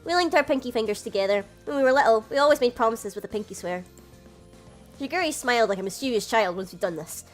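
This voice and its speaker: A woman's voice: on the high side, expressive, fast talker, faint music behind, close to an everyday voice. A woman doing Kashou, a sheepish young brother. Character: young adult man, a flustered older brother who narrates. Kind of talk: reading a visual novel aloud.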